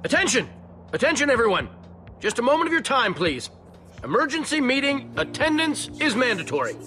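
A man's voice: very high voice, sing-song, brisk, pushed and bright, a little hiss or hum, heavily acted. An actor playing a proud, earnest male guard captain in a video game, speaking formally. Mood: official, commanding. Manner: formal, proclaiming. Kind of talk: animated game voice acting.

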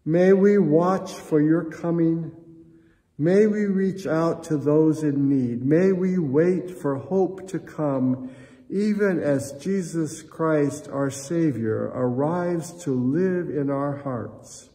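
An older American man, a pastor, speaking solemnly and earnestly in measured phrases as he leads prayer and appeals to a congregation. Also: fairly high pitch, dark tone. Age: 60s or older. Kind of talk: church prayer and offering appeal. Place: church sanctuary, indoors. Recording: reverberant church hall echo.

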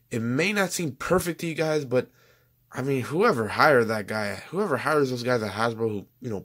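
Young guy going hoarse, rambly toy review at home, mid pitch. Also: fairly bright tone. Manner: rambling, chatty, self-correcting. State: losing his voice. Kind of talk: casual toy review voice-over.

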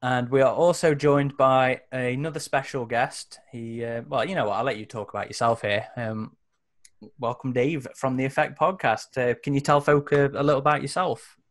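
A man's voice: mid-range voice, fairly even intonation, moderate pace. A man hosting in a casual, conversational way, introducing things as a podcast host would on a gaming stream.

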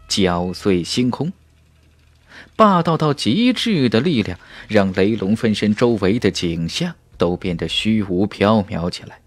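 Male narrator for fantasy audiobook: unhurried, theatrical delivery, widely swinging pitch, suspenseful pauses. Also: no echo. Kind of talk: dramatic scripted narration of a fantasy novel.

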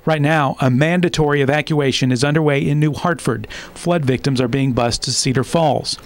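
A man's voice: a little high, fairly even intonation, moderate pace. A man with an American accent reading scripted news as a television anchor.

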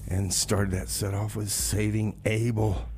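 A man's voice: on the low side, level tone, a little slow, darkish tone. Laid-back radio man over audible noise. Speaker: community radio music show host. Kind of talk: casual radio DJ talk, announcing songs and local events.

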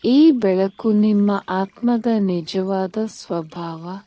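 A woman narrating a guided sleep meditation in a calm, soothing, measured manner. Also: mid-range voice, no echo.

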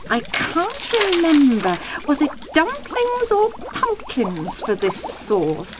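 A woman's voice: sits high, exaggerated voice. A woman playing a wicked, greedy old witch in a fairy-tale cartoon, muttering and bossy, with impatient temper. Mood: forgetful, grumpy. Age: older.

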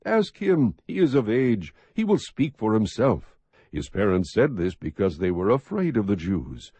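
A man narrating scripture as an audiobook, reading in a measured, expressive, husky voice. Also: fairly low pitch, dry recording.

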